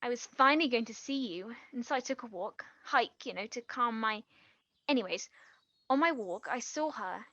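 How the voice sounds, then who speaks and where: An actress plays a gentle, earnest younger woman in an intimate stage drama. Her voice sits high and she speaks haltingly, letting thoughts trail away. The recording is dry and slightly dull. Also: expressive, no echo. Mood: wistful, gentle. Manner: halting, trailing off mid-sentence. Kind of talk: stage play acting, quiet two-hander.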